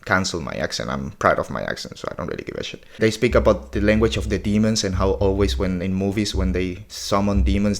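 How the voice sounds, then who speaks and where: A man in his forties recapping a podcast episode in a casual, blunt, opinionated way, swearing often. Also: low voice. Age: 40s.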